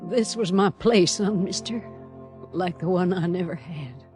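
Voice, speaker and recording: An actress playing a tender, motherly frontier woman in an Old West radio drama, pleading softly in grief. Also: lowish voice, a little slow, close to an everyday voice. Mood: tender, grieving. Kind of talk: 1950s radio western acting. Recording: old radio recording, music behind.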